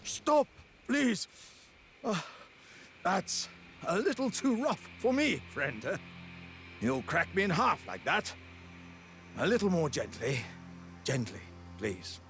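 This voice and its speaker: Pompous British warrior jar, swooping delivery. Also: male voice, sits high, big pitch swings, slow pace, vocal fry, pauses often, full character voice. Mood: panicked, pleading. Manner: grandiose, jovial, old-fashioned gentlemanly phrasing. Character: hearty, pompous, good-natured living warrior jar. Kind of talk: theatrical fantasy game acting. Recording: music behind the voice.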